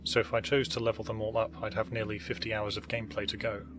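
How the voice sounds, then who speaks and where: Low-voiced man narrating a tutorial over music. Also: level tone, quick pace. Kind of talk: scripted instructional narration over gameplay.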